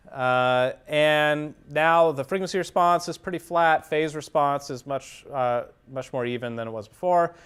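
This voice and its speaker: A man's voice: mid-range voice, fairly bright tone, smooth. A man giving a hands-on demonstration lecture as an instructor, explaining things methodically, step by step. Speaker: audio engineering instructor.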